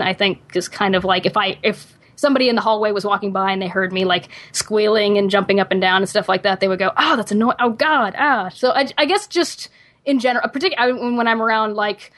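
A young woman co-hosting a casual podcast, hesitant with fillers, her pitch jumping up when she gets excited. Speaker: podcast co-host. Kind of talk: casual chat.

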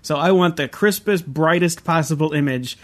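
Chatty higher-voiced male podcast host. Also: normal speed. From Southern California. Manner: chatty, enthusiastic, laughs often. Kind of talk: casual podcast chat.

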